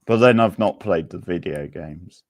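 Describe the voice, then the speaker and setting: A man's voice: low voice, a little slow, no room sound, studio quality. A man hosting a quiz in a casual, chatty style. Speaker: quiz host.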